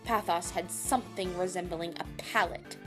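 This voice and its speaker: A woman playing a brash, hot-tempered chef in an audio drama, gruff and sarcastic, cursing mildly in food words. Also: on the low side, moderate pace, close to an everyday voice. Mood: irritated. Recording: music behind the voice.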